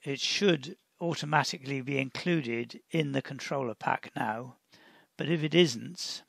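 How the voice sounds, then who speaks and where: Slow, gravelly man giving software instructions. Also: mid-range voice, no room sound. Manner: deliberate, instructional, with pauses mid-sentence. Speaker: tutorial presenter. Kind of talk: step-by-step software tutorial narration.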